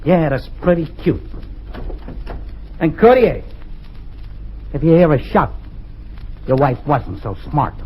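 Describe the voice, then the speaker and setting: A sneering radio-drama gangster. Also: male voice, a little high, big pitch swings, slow, pauses often, lo-fi sound, slight background noise. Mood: menacing. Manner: cold, threatening, sneering. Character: menacing male gangster holding a hostage. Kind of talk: 1940s radio acting.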